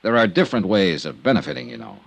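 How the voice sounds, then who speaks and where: An American actor playing a hard-boiled, wry insurance investigator in an old time radio detective drama, probing with dry skepticism. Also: male voice, on the low side, expressive, quick pace, gravelly, full character voice. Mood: dry, insistent. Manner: probing, dryly skeptical questioning. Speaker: lead detective and narrator.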